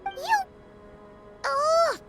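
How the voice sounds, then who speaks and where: Tiny fairy sidekick for a video game: childlike, exclamatory, extremely high and animated. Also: female voice, very slow, full character voice. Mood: excited. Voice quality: squeaky, childlike. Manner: exclamatory, inquisitive. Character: small childlike floating fairy companion, excitable and curious. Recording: background music under dialogue.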